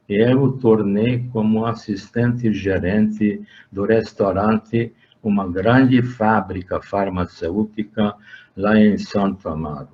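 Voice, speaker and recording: Slow older Hungarian-accented man. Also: on the low side, darkish tone. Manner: slow and halting, with frequent "eh" fillers and restarts. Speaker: interviewee. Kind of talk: recounting his working life in an interview. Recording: dull lo-fi sound.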